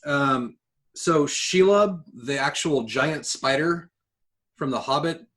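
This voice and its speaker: A man co-hosting a podcast, talking in an enthusiastic, informal way as he pitches his ideas. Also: takes it slowly, fairly bright tone. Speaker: podcast co-host. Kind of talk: casual podcast chat.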